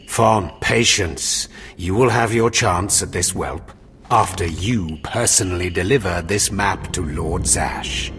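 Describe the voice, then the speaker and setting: A man playing a stern, contemptuous Sith overseer in a video game, gravelly, commanding and sneering. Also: deep voice, slow pace, a bit bright, faint music behind, heavily acted. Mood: cold menace.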